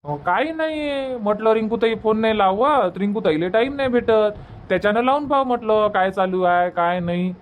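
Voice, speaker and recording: A man's voice: high-pitched, animated, moderate pace, a little hiss or hum. A man voicing an eager, teasing village son in a cartoon comedy, insistent and colloquial, with a Varhadi Marathi accent. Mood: coaxing.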